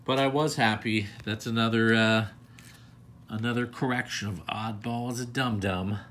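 A man's voice: on the low side, fairly even intonation, moderate pace, fairly bright tone, slight background noise. A man hosting a pack-opening video, talking casually with self-deprecating, rambling asides as he handles cards at a table. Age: older adult. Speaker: card collector channel host. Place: indoors at a table.

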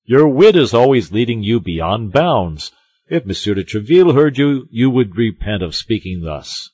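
Man playing a pompous musketeer, loud and swooping. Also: medium pitch, big pitch swings, normal speed, slightly rough, dry recording, pitched above the performer's own voice, rough recording. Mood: scolding. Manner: pompous, exclamatory, emphatic. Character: boastful, loud, self-satisfied male musketeer, blustering and theatrical. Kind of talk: audiobook character acting.

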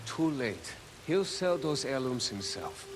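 A cold, greedy mercenary in a video game. He is curt and menacing, his pitch moving freely, with music and rain behind. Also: big pitch swings, slow.

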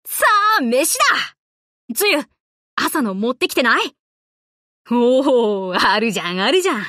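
A woman voicing a brash, tomboyish young warship girl in an anime game, talking in rough, cocky, casual boyish slang. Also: a little high, big pitch swings, a little slow, a bit bright, no room sound, clean studio sound. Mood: cheerful. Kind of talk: Japanese anime game voice lines.

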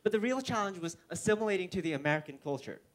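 A man's voice: high-pitched, expressive, rapid-fire. A teenage boy from South Korea, a native Korean speaker, giving a prepared talk on an auditorium stage through a headset mic, earnest and careful, sometimes correcting himself. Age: teens. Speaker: student speaker.

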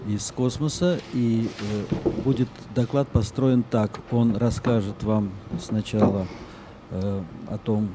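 Hesitant man moderating with noise behind. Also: medium pitch. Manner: hesitant, with frequent filler pauses. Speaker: session moderator. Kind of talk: conference moderation. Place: large conference hall. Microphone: handheld mic.

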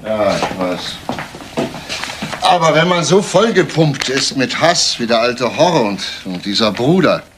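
An actor playing a persistent, composed homicide detective in a German crime radio play, matter-of-fact and clipped. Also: male voice, medium pitch, big pitch swings, measured pace, a bit bright, a little hiss or hum. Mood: speculating, determined.

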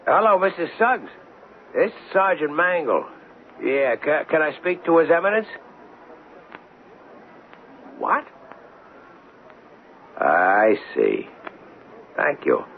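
An actor playing a stubborn American city police sergeant in a 1970s radio drama. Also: male voice, on the high side, wildly swooping pitch, takes it slowly, pauses often, heavily acted. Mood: uneasy. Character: stubborn male city police sergeant following his hunches. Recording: muffled, hissy old radio broadcast.

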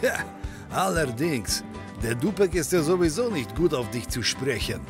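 An actor playing a jovial, adventurous immigrant barkeeper with an expansive manner in a comedy audio drama. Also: male voice, on the high side, very animated, normal speed, over music. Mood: game, hearty. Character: hearty, adventurous Polish immigrant male barkeeper.

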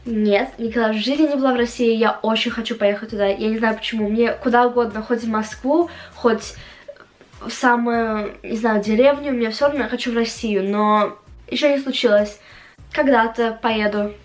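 A young woman from Latvia speaking with an English-influenced accent, chatting casually to the camera as a vlogger and haltingly searching for words. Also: fairly high pitch, steady tone. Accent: English-influenced Russian. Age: young adult. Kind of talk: casual chat to camera, answering viewer questions. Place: small home room, indoors.

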